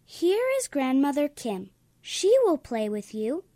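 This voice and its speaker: A woman doing a soft-voiced little girl. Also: very animated, slow pace, no room sound, studio quality, full character voice. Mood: soothing. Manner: soft, coaxing, higher and quieter than the narration. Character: gentle little girl mothering her teddy.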